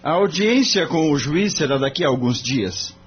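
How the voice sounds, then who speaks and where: An earnest young lawyer played by a man in an audio drama, courteous and thoughtful. The voice sits fairly high and moves freely up and down, on a dull recording with a faint hum. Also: expressive, moderate pace, slightly rough. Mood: earnest polite. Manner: polite, thoughtful.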